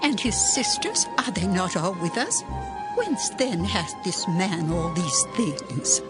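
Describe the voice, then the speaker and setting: A skeptical village woman speaks low and slowly, with big swings in pitch. She sounds indignant and incredulous in an audio Bible drama. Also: big pitch swings. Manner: deliberate, incredulous questioning. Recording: loud music underneath. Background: loud music.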